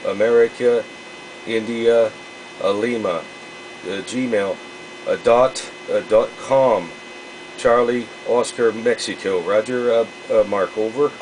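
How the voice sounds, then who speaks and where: A man chatting casually as an amateur radio operator, speaking haltingly with frequent pauses. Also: fairly low pitch, steady tone, background noise. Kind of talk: casual radio contact chat.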